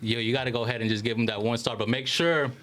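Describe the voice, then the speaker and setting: A man's voice: medium pitch, fairly even intonation, quick pace, a bit bright. A young man hosting a podcast, chatting casually with lots of slang and swearing into a studio microphone. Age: young adult. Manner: slangy, profane. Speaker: podcast host. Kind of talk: casual group chat. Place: small room, indoors. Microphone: podcast microphone with headset.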